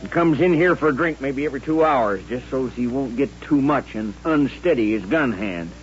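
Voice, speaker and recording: An actor playing a plain-spoken frontier bartender, folksy and matter-of-fact, in a radio western. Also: male voice, mid-range voice, animated, moderate pace, close to an everyday voice. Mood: concerned, gossipy. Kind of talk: old-time radio western acting. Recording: muffled old radio recording with hum.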